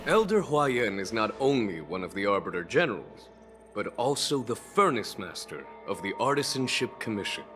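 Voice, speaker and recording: A man playing a genial, calm, welcoming general in an anime game. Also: on the low side, moderate pace. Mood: formal. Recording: loud music behind dialogue.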